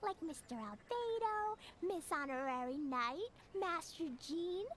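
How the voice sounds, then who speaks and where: A woman voicing an excitable, sweet little girl in a game cutscene, chirpy and eager. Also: very high-pitched, measured pace, a little hiss or hum, exaggerated voice.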